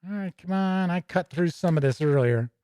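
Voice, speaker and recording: Higher-pitched American man, casual streamer. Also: moderate pace, dark tone, smooth, dry recording. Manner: chatty, conversational, with playful asides. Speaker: host of a tabletop gaming show. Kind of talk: casual livestream commentary.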